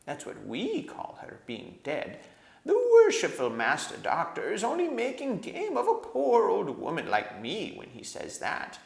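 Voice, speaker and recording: A man voicing a fawning, sinister old female hag in a storybook, shrill and whining, with drawn-out mock sweetness. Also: wildly swooping pitch, gravelly, echoey room, heavily acted. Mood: mocking flattery.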